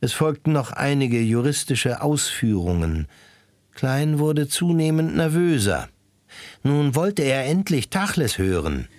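A man narrating a radio drama in a calm, even storytelling voice. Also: mid-range voice, a little slow. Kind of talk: scripted narration.

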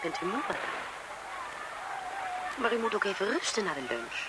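An actress playing a deferential, solicitous nanny in a 1970s radio drama, polite, meek and fussing. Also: female voice, mid-range voice, very animated, normal speed, a loud crowd, very noisy, lightly acted.

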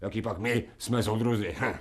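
A man's voice: fairly low pitch, breathy edge. An actor playing a crude, insolent man made from a dog, talking in coarse slang with a sulky whine, in a radio drama. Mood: defiant. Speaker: Sharikov.

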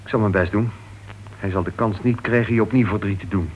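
An actor playing an earnest, lovelorn club board member in a Dutch radio play, asking insistent, probing questions. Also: male voice, lowish voice, fairly even intonation, fast talker, slight background noise, close to an everyday voice. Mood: resigned, tender. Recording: dull, muffled old radio recording.

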